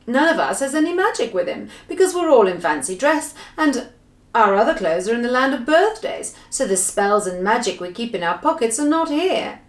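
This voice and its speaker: A woman voicing a thoughtful bearded man in a Santa costume, explaining things in a measured way in a children's story. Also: on the high side, big pitch swings, roomy sound.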